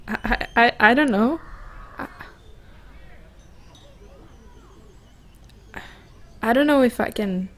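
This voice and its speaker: A woman playing a vulnerable young woman confessing love in an intimate ASMR roleplay, halting and hesitant, often repeating her words. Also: on the high side. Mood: torn, yearning.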